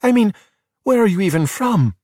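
A man's voice: a little high, moderate pace, no echo, clean studio sound, pitched above the performer's own voice, natural voice. A man voicing a bossy, exasperated, spirited young Italian girl who drops Italian words into emphatic talk in a children's audiobook. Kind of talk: audiobook character voice in a children's story.

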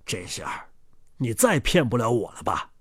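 A man acts out a greedy storybook brute, dim and menacing. The delivery swings dramatically in pitch and sounds close and dry. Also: sing-song, normal speed, fairly bright tone, slightly rough, no echo.

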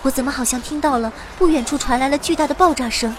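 A woman's voice: high voice, fast, heavy background noise, lightly acted. An actress playing an earnest young female adventurer who narrates her story in a fantasy radio drama. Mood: urgent, dramatic.

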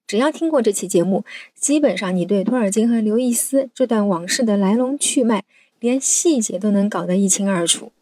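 A woman's voice: medium pitch, a little fast, dark tone, dry recording. A woman hosting a podcast, delivering a scripted introduction of a guest and subject. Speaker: podcast host.

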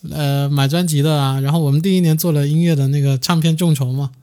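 A man's voice: on the high side, fairly even intonation, fast talker, warm tone. A man speaking casually as a musician being interviewed, with a modest, easygoing manner.

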